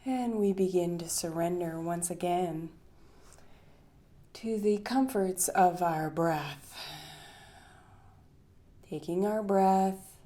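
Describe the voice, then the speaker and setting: A woman leading a guided meditation with poetry readings, speaking soothingly with long pauses and a breathy trail-off at the ends of phrases. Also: on the low side, mellow.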